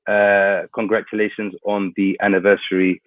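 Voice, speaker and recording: A man's voice: on the low side, flat delivery, normal speed, no room sound. Man, hesitant with fillers, hay fever, thin phone-like call audio, dry sound. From London, England. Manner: hesitant, many fillers and restarts. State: hay fever, may sneeze. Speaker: guest speaker from an international organisation. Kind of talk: webinar opening remarks.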